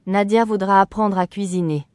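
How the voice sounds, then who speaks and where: Clear crisp phrase reader, slow even delivery, dead dry sound. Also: medium pitch, no room sound. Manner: even, carefully enunciated, every phrase repeated.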